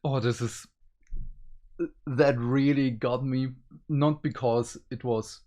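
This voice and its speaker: Slow-talking man in casual review chat. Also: medium pitch, steady tone, mellow. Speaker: reviewer, co-host.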